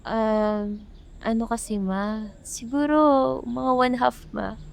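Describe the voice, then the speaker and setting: A woman's voice: fairly high pitch, slow, creaky, clear, resonant tone. A woman with a Filipino accent playing a frank, caring teenage younger sibling in an amateur radio drama, candid and coaxing.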